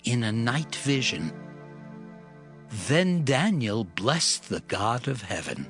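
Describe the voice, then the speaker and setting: A man narrating scripture in a solemn, deliberate, dramatic scripted style. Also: medium pitch, big pitch swings, over music.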